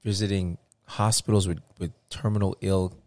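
Low-voiced man in rambling casual chat. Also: moderate pace, no room sound. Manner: rambling, animated, many restarts and fillers.

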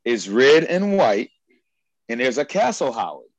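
Excitable American male host, slangy, dry recording. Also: mid-range voice, measured pace, no echo, rough recording. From United States. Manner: excitable, slangy, repeats himself. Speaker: podcast host. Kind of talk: casual chat. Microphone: headphones with mic on a video call.

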